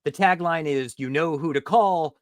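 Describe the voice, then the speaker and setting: A man's voice: fairly high pitch, normal speed, no room sound, studio quality. A man hosting a podcast in a casual, bantering style, teasing and joking with his guest. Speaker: podcast host. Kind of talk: casual chat, film quiz banter.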